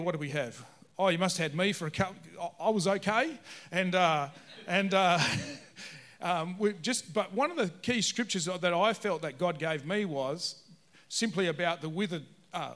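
A man with a fairly high voice leads an interview segment during a church service. He rambles and restarts his sentences as he introduces his guests. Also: fairly bright tone.

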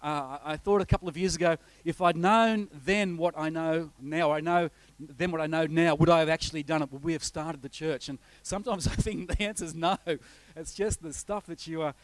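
A man's voice: on the high side, steady tone, brisk, hardly any pauses, no room sound. A middle-aged Australian man, a visiting pastor, giving a rambling, chatty introduction to a church congregation, with many fillers and restarts. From north coast of New South Wales, Australia. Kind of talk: informal sermon introduction with slides.

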